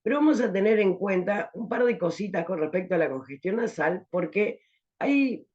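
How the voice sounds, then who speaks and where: A woman's voice: medium pitch, lively intonation, normal speed, a little mellow, dull audio. A woman teaching an online pharmacology class, explaining with rhetorical questions and tagging her points with "¿eh?".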